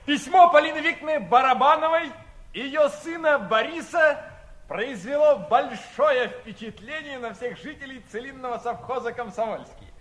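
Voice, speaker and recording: Cheeky young man, very high animated voice. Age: young adult. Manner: playful, theatrical banter. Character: cheeky, boisterous young man, joking show-off. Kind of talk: 1960s Soviet radio play acting.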